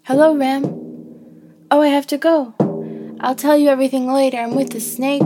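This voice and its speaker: A woman's voice: a little high, natural voice. A woman voicing a brave, stubborn, curious young antelope in a children's story, eager and full of exclamations. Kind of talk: children's storybook character acting. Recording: loud music behind the voice.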